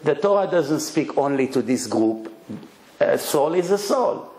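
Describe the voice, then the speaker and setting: A man's voice: on the high side, lively intonation, a little slow, darkish tone, roomy sound, rough recording. A man with an Israeli accent, Hebrew his first language, giving a blunt, emphatic religious lecture in short punchy phrases and rhetorical questions. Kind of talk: lively religious lecture to an audience.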